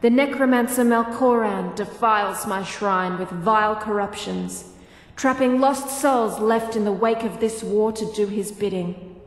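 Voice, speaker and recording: A woman's voice: mid-range voice, unhurried, close to an everyday voice. A woman voicing an imperious, stately goddess in a fantasy game, speaking formally and solemnly. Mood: indignant. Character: imperious female goddess, stately, wrathful, commanding. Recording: echoey hall-like reverb.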